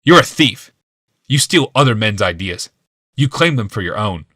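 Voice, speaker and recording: A man voicing a bitter, paranoid, sickly inventor in an audiobook, with shouting, hissing, accusing outbursts. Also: fairly low pitch, very animated, moderate pace, husky, dry recording, studio quality, full character voice. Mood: indignant, angry.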